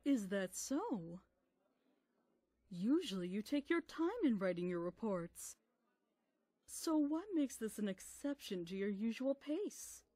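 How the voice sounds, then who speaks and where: A woman playing a motherly, gracious pony princess and mentor, speaking formally and gently in an acted fan audio drama. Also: mid-range voice, sing-song, no room sound. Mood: kindly curious. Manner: formal, gentle, measured speech.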